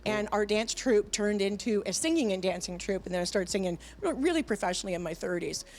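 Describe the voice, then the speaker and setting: A middle-aged woman chats freely as a guest on a TV talent show, warming to her stories with plenty of ums and restarts. Her voice sits at a middle pitch. A light hiss runs under the studio sound. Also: fast talker. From Canada. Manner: chatty, animated, many fillers and restarts. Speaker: band vocalist, guest. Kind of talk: casual chat. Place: TV studio with audience.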